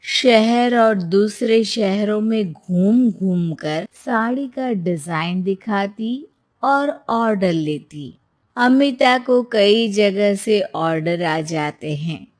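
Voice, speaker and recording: A woman narrating a scripted moral story in a measured storybook manner. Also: medium pitch.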